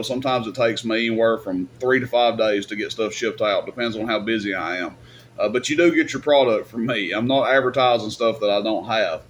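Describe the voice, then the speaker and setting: A man's voice: medium pitch, slight background noise. A man giving casual, off-the-cuff advice in a rambling, plain-spoken, colloquial way, like a YouTube host. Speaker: YouTube host and radio equipment seller.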